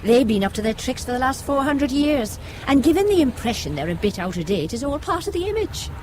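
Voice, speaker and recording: An actress playing a shrewd, plain-spoken aunt in an audio drama, with a wry, folksy manner. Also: female voice, high-pitched, sing-song, brisk, darkish tone, slight background noise. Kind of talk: spy radio drama acting.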